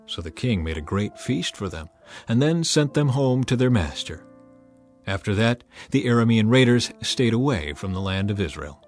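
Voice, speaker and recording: A man's voice: lowish voice, sing-song, moderate pace, darkish tone. Earnest male scripture narrator. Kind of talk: dramatized scripture reading. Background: faint background music.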